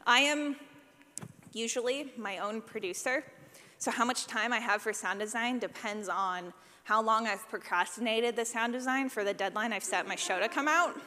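A woman giving a live workshop talk as a sound designer, animated, playful and informal, acting out examples as she goes. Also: a little high, moderate pace. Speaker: session presenter, podcast sound designer. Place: large indoor session room. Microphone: presentation microphone. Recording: echoey hall, live presentation.

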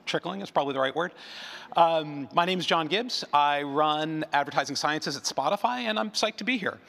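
A man's voice: on the high side, moderate pace, gravelly, fairly bright tone. A man giving a conference presentation in a conversational way, often doubling back on his phrases. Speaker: conference speaker, advertising researcher. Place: large room, live event. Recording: reverberant hall sound.

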